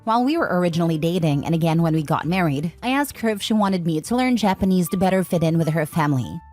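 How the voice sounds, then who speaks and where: A woman reading forum posts aloud as scripted narration, in an even, steady way. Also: fairly low pitch, quick pace. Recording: faint background music.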